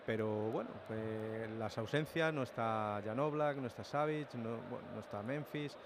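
A man's voice: medium pitch, moderate pace. A man with an accent from Spain giving a live pre-match football report as a radio reporter. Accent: Spanish (Spain). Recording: noisy outdoor stadium ambience. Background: clear outdoor ambient noise.

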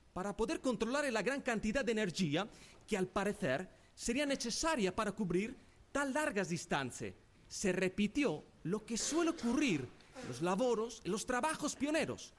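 A man's voice: high-pitched, sing-song, normal speed. A man playing an Italian inventor in a radio drama, giving a formal, confident speech in Italian-accented Spanish mixed with Italian words. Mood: formal, measured.

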